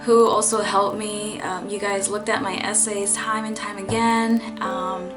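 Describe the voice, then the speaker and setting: A woman's voice: medium pitch, level tone, measured pace, roomy sound, over music. A young woman, a college student, sharing her faith story in a personal vlog, speaking earnestly and reflectively with frequent fillers and restarts. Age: young adult.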